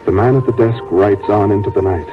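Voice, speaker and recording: Grave low-pitched male radio narrator. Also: brisk. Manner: grave, dramatic. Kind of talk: solemn scripted 1940s radio narration. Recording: tinny narrow-band 1940s radio recording. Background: music.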